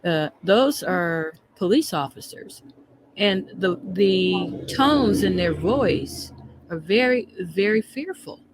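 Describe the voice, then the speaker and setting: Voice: medium pitch, expressive, takes it slowly, noisy background. A co-host giving conversational reaction commentary, speaking emphatically and repeating phrases for weight.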